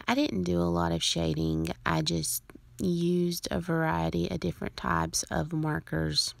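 A woman narrating her card-making process as a voice-over, in a relaxed, rambling way with frequent ums. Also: low-pitched, gravelly, no echo.

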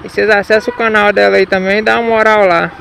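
A young Brazilian man with a Minas Gerais accent chats in slang from his motorcycle, his voice sitting high with a gravelly fry on it. He curses at drivers. Road and engine noise roar loudly around a helmet-mounted mic. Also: flat delivery, fast talker. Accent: Brazilian Portuguese, Minas Gerais. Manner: slangy, swears at drivers, irritated outbursts. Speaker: motovlogger. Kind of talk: casual running commentary while riding. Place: city streets outdoors on a motorcycle. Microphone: helmet or action camera mic.

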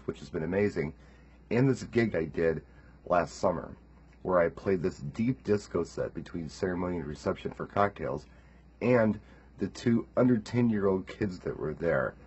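A man's voice: deep voice, expressive, moderate pace, a little mellow, slightly rough, dull audio. A man hosting a DJ video show, recalling a favorite gig in an upbeat way over a webcam in a home studio. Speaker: show host, DJ. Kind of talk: casual video recap.

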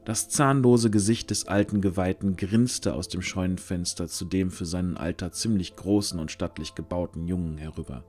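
A man narrating a scripted fantasy audio drama, using dramatic pauses. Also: fairly low pitch, a little mellow. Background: music.

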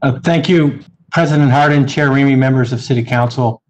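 A man's voice: mid-range voice, a little fast. A middle-aged man, a city development director, giving formal remarks at a council meeting from his office over a video call. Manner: steady, formal statement with light fillers. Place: office, indoors. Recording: slightly dull video-call audio with faint noise.

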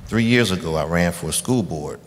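A man from Illinois, an activist at an audience microphone, delivering a deliberate, persistent preamble to a question. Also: deep voice, lively intonation. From Illinois, United States. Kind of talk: prepared public question with preamble. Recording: reverberant hall PA.

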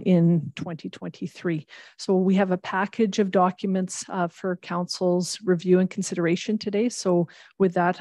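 A woman on municipal finance staff giving a formal budget presentation to council, measured and explanatory as she reads out long figures. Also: fairly low pitch, warm tone, dry recording. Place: large council chamber.

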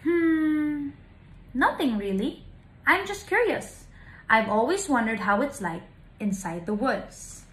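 A small, curious storybook bird as a woman reads him, chirpy and high with lively swings in pitch. He is cheerful and eager, full of questions, heard in a slightly echoey room. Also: slow. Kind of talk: children's storybook reading.

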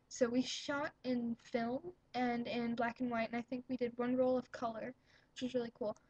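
A woman's voice: a little high, a little mellow, slightly rough, dry recording, highs cut off. A young woman talking casually to her webcam at home, rambling upbeat with lots of fillers and giggles. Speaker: vlogger.

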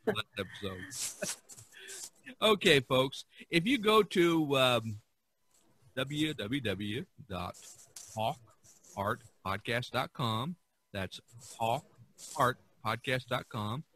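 A man hosting a podcast in a casual way, halting and repeating words as he talks. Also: on the low side, fairly bright tone. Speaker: podcast host.

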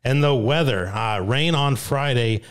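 A young man with a New Jersey accent hosting a weekly fishing report, reading catches from notes at a boom mic in a small studio. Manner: upbeat, reads names and catches in quick lists. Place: small studio with brick wall, indoors. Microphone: boom mic on arm, headphones.

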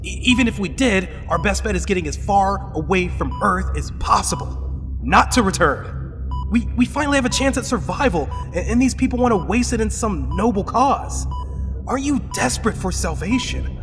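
An actor in an audio drama playing a frantic, cynical survivor who rants sarcastically to save himself. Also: male voice, sits high, animated, brisk. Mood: desperate, scornful. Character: panicky, cynical, self-preserving male survivor. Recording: echoing hall, loud music underneath.

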